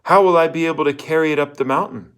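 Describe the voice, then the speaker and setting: A man voicing a naive, lovestruck fifteen-year-old boy in a storybook, earnest and quick to answer. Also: on the high side, fairly bright tone, clean tone, pitched above the performer's own voice, natural voice. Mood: earnest, questioning.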